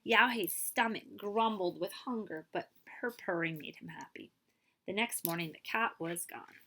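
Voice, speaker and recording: A woman reading a children's story aloud in an expressive, animated way, as a librarian would. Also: mid-range voice, moderate pace, rough recording. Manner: expressive, with commentary asides to listeners.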